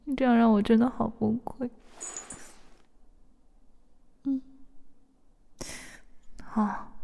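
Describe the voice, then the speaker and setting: A young woman voicing an obsessive, possessive young woman in a whispered audio drama, pleading and coaxing her beloved older sister in a soft, whispery voice. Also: mid-range voice, vocal fry. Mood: coaxing, apologetic. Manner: pleading, clingy, repeatedly coaxing with questions.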